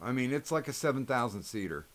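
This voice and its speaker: A man hosting a casual chat, loud and profane, with rambling restarts. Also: medium pitch, expressive, fast talker. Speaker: host.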